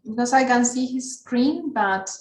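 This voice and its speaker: A woman moderating an online class session, calmly checking the connection and explaining where the talk left off. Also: a little high, measured pace, roomy sound. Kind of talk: video call moderation. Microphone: video call.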